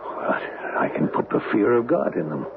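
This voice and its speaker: A man's voice: on the low side, very animated, moderate pace. A man playing a weak, greedy schemer in a radio drama, talking in terse, evasive, informal phrases. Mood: uneasy, pleading. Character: weak, nervous, greedy male schemer without conscience. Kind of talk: old-time radio drama acting. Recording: muffled old radio broadcast, faint noise.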